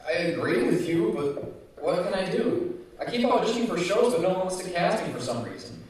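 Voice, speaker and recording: A man's voice: sits high, sing-song, a little fast. A man playing a naive, polite aspiring actor who works as prop master, in a live old-time radio comedy. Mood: resigned. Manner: polite, earnest, hapless. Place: community center stage, live performance. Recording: very echoey hall, far from mic.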